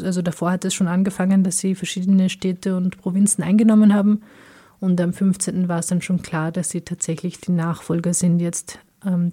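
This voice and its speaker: A woman from Afghanistan, a radio interview guest answering earnestly in a measured way, often pausing to find her words. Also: fairly low pitch, warm tone.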